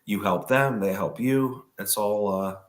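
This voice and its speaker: Hoarse, low-voiced male podcast host, relaxed and chatty. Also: measured pace. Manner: relaxed, chatty, with frequent fillers. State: hoarse, recovering from illness. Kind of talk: casual chat, reading notes aloud.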